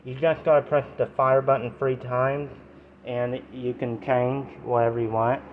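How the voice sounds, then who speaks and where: A man in his twenties speaks in a nasal voice, with a halting, rambling delivery broken into short fragments. He sounds like a casual vlogger talking to his viewers. The audio is very thin and phone-like, with faint noise behind him. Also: mid-range voice, flat delivery. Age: 20s. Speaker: YouTube vlogger reviewing products. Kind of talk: casual chat to camera, informal product review.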